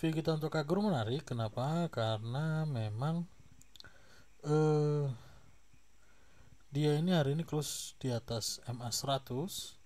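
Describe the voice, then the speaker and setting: A man's voice: on the high side, unhurried, pauses often. A man hosting a stock channel, casually walking through charts with many fillers and a slight cough. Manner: relaxed, many fillers and tag questions. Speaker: stock market channel host.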